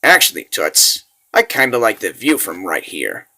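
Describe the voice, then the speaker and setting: Cocky soldier, played by a man, leering, flippant, lazy drawl, lively pitch swings, comic dub. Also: normal speed, full character voice.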